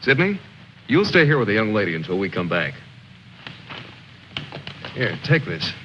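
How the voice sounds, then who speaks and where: Commanding lieutenant, lively intonation, muffled recording. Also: male voice, fairly low pitch, moderate pace. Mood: calm, commanding. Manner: articulate, condescending, commanding. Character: composed, authoritative male army lieutenant leading stranded soldiers. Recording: dull, noisy old film soundtrack.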